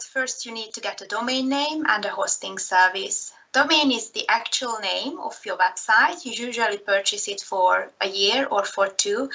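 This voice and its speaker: A woman's voice: mid-range voice, vocal fry, pushed and bright, poor audio. A woman from Hungary in her thirties with a Hungarian accent, giving a recorded slideshow talk in a careful manner with frequent fillers. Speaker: presenter.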